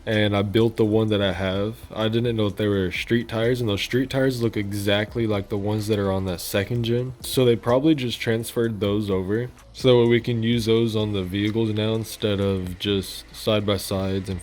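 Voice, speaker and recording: Low-voiced man doing casual gaming commentary. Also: steady tone, moderate pace, hardly any pauses, darkish tone. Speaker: gaming channel host. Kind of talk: casual gameplay news commentary. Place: voice-over on gameplay footage. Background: music.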